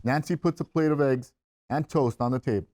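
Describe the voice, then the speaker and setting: A man serves as the narrator at a script table read. He reads scene headings and action lines at an average male pitch. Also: a little fast, a little mellow. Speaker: stage-direction reader.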